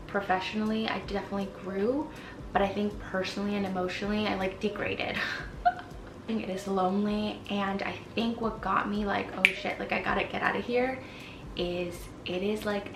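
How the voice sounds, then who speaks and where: A woman's voice: mid-range voice, creaky, roomy sound, recorded from a distance, background noise. A woman in her twenties, born in Mexico with Spanish as her first language, chatting candidly to camera from her apartment, with lots of fillers. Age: 20s. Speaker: vlogger. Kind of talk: casual chat to camera.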